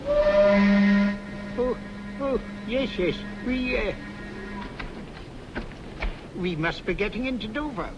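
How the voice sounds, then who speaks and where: A man's voice: sits high, extremely slow, long pauses, muffled recording, music in the background. An actor playing a kindly, doddering old clergyman who fusses, hesitates and repeats himself in a drama. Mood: genial, sleepy. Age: older. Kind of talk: old film-style radio drama acting.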